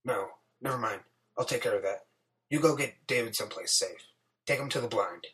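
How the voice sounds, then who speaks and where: A man's voice: medium pitch, normal speed, fairly bright tone, close to an everyday voice. A man playing a protective, commanding male survivor in an audiobook, speaking firmly and urgently. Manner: firm, urgent.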